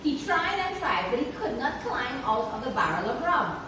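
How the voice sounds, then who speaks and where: A woman reading a children's story aloud as narrator, expressive and deliberate, stressing key words. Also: high-pitched. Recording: echoey room, distant mic, clear background noise.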